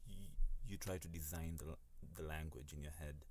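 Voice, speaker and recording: A young man with a very low, mellow voice talks as a podcast guest. Swahili is his first language. He speaks hesitantly, pausing and restarting often as he searches for words. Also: fairly even intonation. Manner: hesitant, many ums and restarts. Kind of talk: casual podcast conversation.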